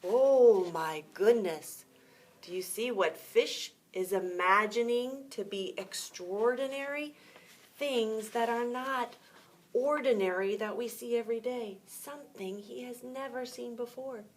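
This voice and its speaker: A woman with a fairly high voice reads aloud as a preschool teacher would. She takes her time, explaining things in simple terms and putting questions to her young listeners. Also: a little slow, slight background noise. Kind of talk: read-aloud storytime with asides and questions to young children.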